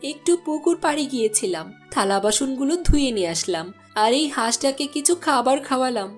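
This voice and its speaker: A woman voicing a strong-willed village housewife in a cartoon, complaining and insistent but soft-hearted. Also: high-pitched, expressive, a little fast, faint music behind. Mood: calm. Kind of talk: cartoon voice acting.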